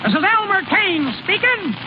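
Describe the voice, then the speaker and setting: High-pitched cocky hayseed ballplayer. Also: male voice, expressive, normal speed. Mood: proud, declaiming. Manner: folksy, ungrammatical, boastful. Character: cocky, naive hayseed young baseball pitcher. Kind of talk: 1930s radio comedy acting. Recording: muffled, noisy vintage radio broadcast.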